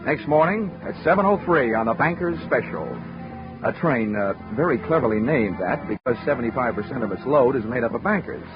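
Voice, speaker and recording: An actor playing a wry, flirtatious insurance investigator with deadpan delivery in 1940s radio detective drama. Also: male voice, medium pitch, a little fast, lightly acted. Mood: wry, dry. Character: wisecracking flirtatious male freelance insurance investigator. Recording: dull vintage radio recording with music behind.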